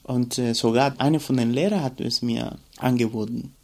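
Reflective man, gravelly voice. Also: mid-range voice, steady tone, moderate pace, darkish tone. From Cuba. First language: Spanish. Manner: reflective, searching for words with many fillers. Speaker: interviewee. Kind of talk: personal storytelling.

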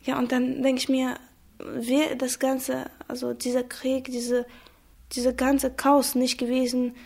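A young woman from Chechnya, a native Chechen speaker, giving a reflective, hesitant personal account with frequent pauses. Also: sits high, monotone, creaky, darkish tone. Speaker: interviewee. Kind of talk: personal testimony.

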